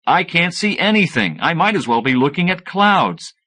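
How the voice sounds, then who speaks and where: A man's voice: on the high side, sing-song, normal speed, sharp tone, voice pushed up, exaggerated voice. A man voicing a down-to-earth, skeptical and exasperated scientist sidekick in an audiobook. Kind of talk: audiobook character dialogue.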